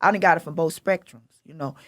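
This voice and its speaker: A woman's voice: sits low, fast, no room sound, studio quality. A woman hosting a casual podcast chat, direct and emphatic in how she makes her points. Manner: direct, emphatic, mimics others in quotes. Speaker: podcast host.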